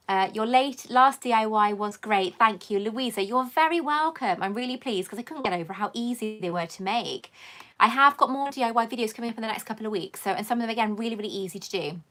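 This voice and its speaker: A woman's voice: medium pitch, brisk, a bit bright. A middle-aged woman with a mixed southern English and Welsh accent, chatting on a live Q&A stream and reading viewer comments aloud. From England, raised in Wales. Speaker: host.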